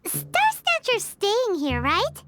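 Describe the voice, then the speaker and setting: A tiny girlish fairy companion from a video game, with a very high, sing-song voice that swoops all over. She is bubbly and nosy and talks about herself in the third person, at an unhurried, deliberate pace. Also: wildly swooping pitch, over loud music, full character voice. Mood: excited.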